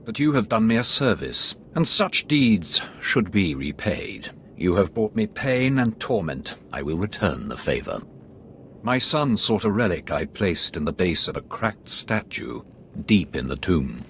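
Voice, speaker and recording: A stern Sith lord, low and commanding, his pitch swinging widely as scorn turns to menace. He speaks with cold contempt over faint ambient noise in a game scene. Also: sing-song, unhurried, a bit bright, heavily acted. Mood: scornful. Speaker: Sith lord guarding the council chamber. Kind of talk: video game voice acting.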